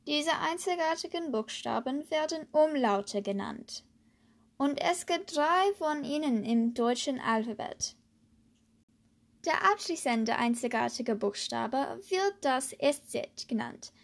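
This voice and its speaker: A woman from the United States, a native English speaker, hosting a podcast and slowly reading a lesson in German with hesitant fillers. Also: high-pitched, animated. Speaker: podcast host, German learner. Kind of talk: scripted language lesson podcast.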